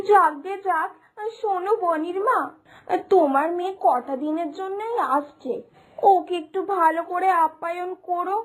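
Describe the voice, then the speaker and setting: A woman voicing Lata-di, a nosy village woman who scolds and nags her neighbour in a cartoon. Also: very high voice. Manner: scolding, nagging. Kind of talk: cartoon voice acting.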